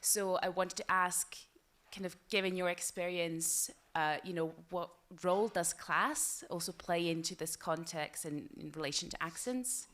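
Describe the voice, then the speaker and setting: A woman's voice: on the low side, unhurried, fairly bright tone. A woman in the audience politely asking a question, with a few fillers.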